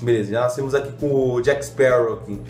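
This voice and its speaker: A man's voice: medium pitch, steady tone, brisk, darkish tone, roomy sound. Slangy young man with a cold. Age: young adult. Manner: slangy, rambling, self-mocking. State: slightly congested from a cold. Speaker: gaming youtuber. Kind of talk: casual gameplay commentary.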